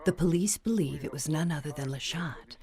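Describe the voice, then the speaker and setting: A woman's voice: low-pitched, brisk. A woman playing an earnest, gossipy friend in a radio drama, telling news expressively. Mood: excited, incredulous. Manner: expressive, newsy.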